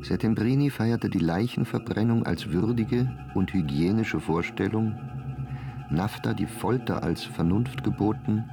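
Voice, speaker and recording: A man narrating a literary radio play from a script in a measured, formal way. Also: on the low side, creaky, music in the background.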